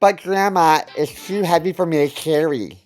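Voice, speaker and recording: A man's voice: on the high side, moderate pace, soft background music, voice pushed up, close to an everyday voice. A man voicing a small, meek, polite grandson in a comedy story. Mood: meek, pleading.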